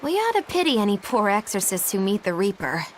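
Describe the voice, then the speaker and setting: A woman's voice: mid-range voice, sing-song, fairly quick, slight background noise, lightly acted. An actress playing a self-important, theatrical young witch in a video game, sly and teasing. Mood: mock pity. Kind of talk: English video game voice acting.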